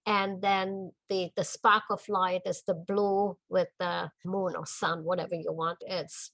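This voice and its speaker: Older czech lady chatting about her art, soft and thoughtful, dry room. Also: fairly low pitch, flat delivery, no room sound. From Czech Republic. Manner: gentle, thoughtful, pauses and restarts. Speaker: artist. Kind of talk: documentary interview. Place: home art studio.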